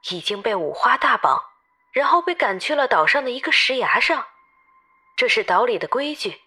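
A woman playing a lively tour guide who tells an island legend to travelers in an expressive, emphatic audio-novel style. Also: fairly high pitch, husky, heavily acted. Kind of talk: dramatized storytelling in an audio novel.

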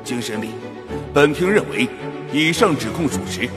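A man's voice: mid-range voice, sing-song, normal speed, lightly acted. An actor playing a stern male judge, formally pronouncing in a radio drama. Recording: long hall reverb over music.

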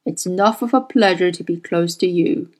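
A woman's voice: normal speed, a little mellow, close to an everyday voice. A woman doing a murmuring older man. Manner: low, murmuring. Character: older powerful grey-haired man, smitten.

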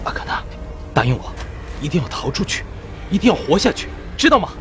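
A man's voice: fairly high pitch, very animated, fairly quick, background noise. A man playing an earnest, protective warrior in a fantasy audio drama, speaking urgently and emotionally. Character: earnest, protective young male warrior with a shield.

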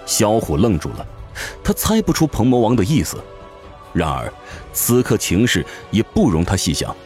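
A man's voice: lowish voice, very animated, moderate pace, darkish tone. A man narrating a fantasy audio drama from a script, with intense, theatrical storytelling. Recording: music behind the voice.

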